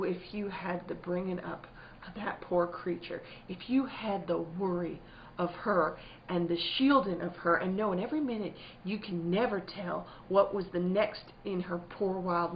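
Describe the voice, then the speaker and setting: An actress playing a careworn, protective rural wife, fretful and earnest in plain country dialect, in a radio play. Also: female voice, lowish voice, a little slow. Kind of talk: theatrical radio-play acting. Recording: dull, noisy lo-fi recording.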